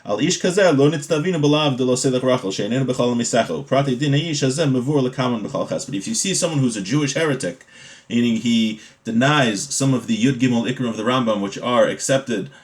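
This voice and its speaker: A man's voice: medium pitch, fairly quick. Man teaching an informal religious law lesson. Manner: explanatory, checks in with rhetorical questions.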